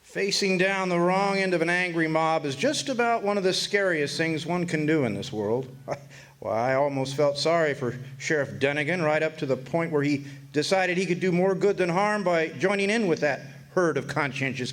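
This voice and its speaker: A man's voice: fairly high pitch, moderate pace, fairly bright tone, natural voice. A man acting a wry Old West storyteller, narrating a radio drama in folksy first-person reminiscence.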